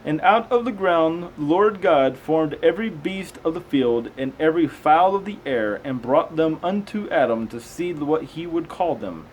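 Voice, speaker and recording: A man with a fairly high-pitched voice reads old text aloud in a halting way, tripping on hard words. He mixes in casual, conversational asides. The amateur recording carries faint background noise. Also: animated, rough recording. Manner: halting reader, stumbles over unfamiliar words. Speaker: amateur host reading aloud and commenting. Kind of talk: reading scripture aloud with casual commentary.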